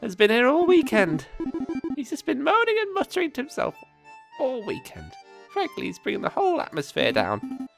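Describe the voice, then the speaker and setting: A game player voicing a brassy, motherly female bird who keeps a tavern, loud and familiar, with faint music behind. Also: high-pitched, wildly swooping pitch, normal speed, creaky, pitched above the performer's own voice, full character voice. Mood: exasperated. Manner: familiar, loud, drawling endearments.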